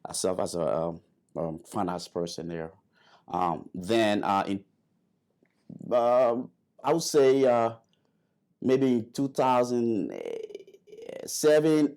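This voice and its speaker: Low-voiced man from Liberia, halting. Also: a little mellow. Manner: halting, many fillers and restarts. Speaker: interview guest, airman. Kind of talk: casual interview storytelling.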